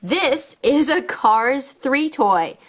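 A woman with a fairly high voice presents toys to children. She talks very unhurriedly and casually, with simple wording and plenty of enthusiasm. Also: animated, very slow. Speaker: children's toy channel host. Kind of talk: casual hands-on toy showcase for children.

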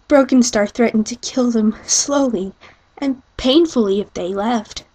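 A woman's voice: a little high, slow, darkish tone, slightly rough, poor audio. A woman voicing a mean, defiant female warrior cat, indignant and protesting, in an amateur audition.

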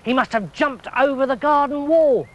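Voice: high-pitched, moderate pace, lo-fi sound, pitched above the performer's own voice, lightly acted. A storyteller doing an anxious timid householder. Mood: urgent.

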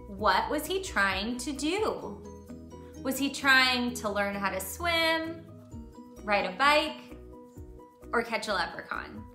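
A woman in her thirties reading and teaching for young children in an expressive, patient way. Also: a little high, reverberant. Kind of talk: children's read-aloud and lesson.

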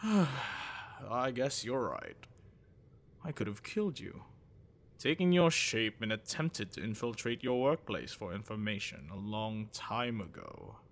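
Man acting a low, gravelly, possessive shapeshifter. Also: animated. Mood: taunting, menacing.

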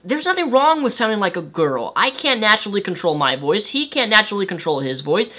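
Young dude w/ light high voice, worked up and ranting to his webcam in his bedroom. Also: brisk. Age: young adult. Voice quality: light, high-pitched for a man. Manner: animated, exasperated, waves hands. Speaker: video host. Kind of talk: ranting commentary vlog. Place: bedroom with shelves of toys and games.

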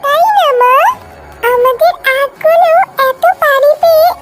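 A woman's voice: very high-pitched, a little slow, pitched above the performer's own voice. A woman voicing an eager, chirpy little fox girl in a children's cartoon story. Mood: excited. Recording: music behind the voice.

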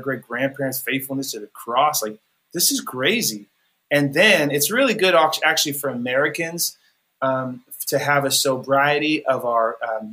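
A man's voice: mid-range voice, bright, forceful tone. A man from California, a musician guest on a podcast, talking earnestly and rambling with many restarts. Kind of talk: podcast interview.

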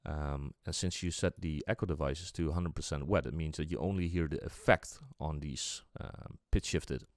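A man presenting a casual music software tutorial, explaining step by step in an unscripted, halting way. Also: a bass voice, dry recording, clean studio sound.

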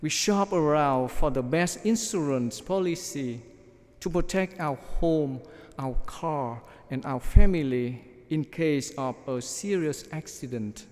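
A middle-aged man, a priest, preaching a measured sermon from notes at a church lectern microphone. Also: on the high side, lively intonation, a little mellow. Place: large church, at the ambo. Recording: long church reverb.

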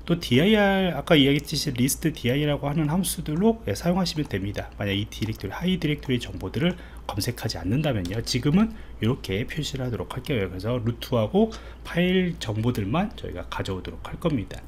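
Lively male coding instructor, noisy recording. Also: medium pitch, fast, few pauses. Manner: informal explanatory, thinking aloud while typing. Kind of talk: screen-recorded coding tutorial, explained step by step. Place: indoors, voice-over on a screen recording. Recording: clearly audible background noise.

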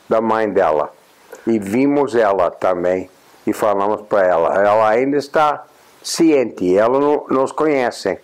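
An American man in his eighties, a native English speaker, giving an indoor interview and pausing often with "ah". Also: medium pitch, darkish tone, low-quality recording. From United States. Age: 80s. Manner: unhurried, pausing often with "ah" and restarts. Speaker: interviewee, journalist and honorary consul. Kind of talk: reflective interview talk. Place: indoors, plain room.

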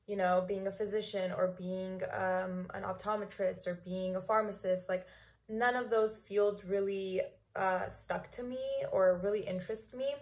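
A woman's voice: medium pitch, fairly even intonation, unhurried, a little mellow. Chatty young woman, filler-heavy. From Los Angeles, California. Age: 20s. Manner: chatty, many ums and likes. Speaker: dental student. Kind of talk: interview answers to camera. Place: small room indoors.

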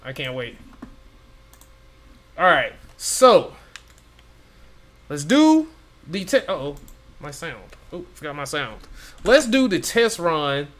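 Excitable young man reacting to a game. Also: a little high, slow, pauses often. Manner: excitable, repeats phrases, stumbles over names. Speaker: gaming reaction YouTuber. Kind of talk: casual reaction commentary. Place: home room with gaming chair.

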